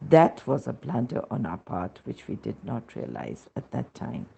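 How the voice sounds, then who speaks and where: Husky, gravelly Indian-accented man reading. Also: medium pitch, huge pitch swings, normal speed, dark tone. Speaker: reader of devotional testimonies. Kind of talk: scripted narration of a devotional testimony. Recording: dull, lo-fi recording.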